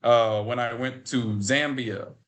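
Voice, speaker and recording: An American man in his twenties, a podcast guest on a video call, telling a story in an animated way that repeats and restarts phrases. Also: medium pitch, takes it slowly. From United States. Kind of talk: storytelling in a remote interview.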